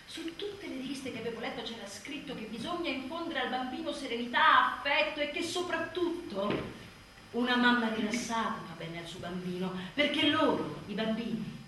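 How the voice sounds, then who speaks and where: A woman's voice: fairly high pitch, normal speed, pushed and bright. An actress playing an exhausted, sardonic new mother in a theatrical radio monologue, emphatic and full of sarcasm. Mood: tense, restrained. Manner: emphatic, sarcastic, mimicking others mockingly. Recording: echoey room, distant mic, faint noise.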